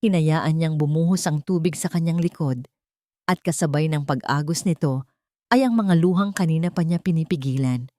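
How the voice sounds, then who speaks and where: A woman with a low voice reads a scripted drama as narrator. The recording is dry, with very little room sound. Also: normal speed, mellow, dry recording. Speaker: story narrator.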